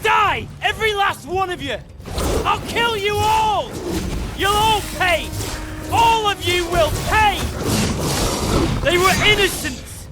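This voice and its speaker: A man's voice: very high-pitched, slow, pauses often, loud music behind the voice. An actor playing an enraged, vengeful man who screams threats in a game cutscene. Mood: furious. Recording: loud game score behind.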